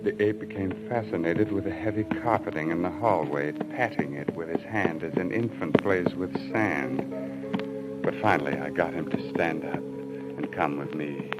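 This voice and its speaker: An actor playing a deranged, murderous husband in an old-time radio thriller, theatrical, swinging between hushed coaxing and frenzy. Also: male voice, low voice, unhurried, poor audio. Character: deranged, murderous husband confessing his crime. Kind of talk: old-time radio thriller acting, first-person narration. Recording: old radio recording with loud music.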